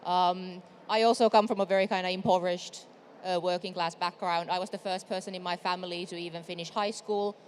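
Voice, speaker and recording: A woman's voice: fairly low pitch, fairly even intonation, a little fast. A woman from Finland with a Finnish accent, speaking on a conference panel in a chatty way with frequent fillers. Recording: reverberant hall, faint noise.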